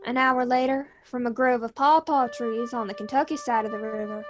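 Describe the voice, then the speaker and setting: A woman playing a solemn radio drama storyteller, with a measured, theatrical delivery and music behind her. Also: fairly high pitch, fairly even intonation, lightly acted. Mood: solemn, dramatic. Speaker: narrator.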